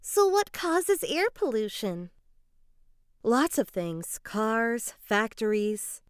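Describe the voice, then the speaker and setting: Animated woman reading a children's story. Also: fairly high pitch, takes it slowly, clear, resonant tone, no echo, studio quality. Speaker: children's book reader. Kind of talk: dramatized read-aloud of a children's educational story.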